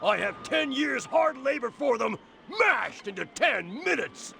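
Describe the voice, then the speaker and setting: Gravelly, high-pitched hyped-up tough guy. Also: very animated, unhurried, sharp tone, a little hiss or hum, full character voice. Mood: gleefully aggressive. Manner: barking, boastful, hyped-up. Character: aggressive, pumped-up drill-instructor tough guy. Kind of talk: cartoon video game voice acting.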